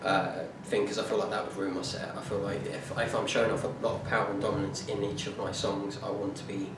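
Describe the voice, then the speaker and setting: Young man, fairly low voice, halting and full of fillers, far from the mic, very echoey room. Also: level tone, noisy background. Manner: halting, many fillers and restarts. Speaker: music student presenting a performance plan. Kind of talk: informal spoken presentation.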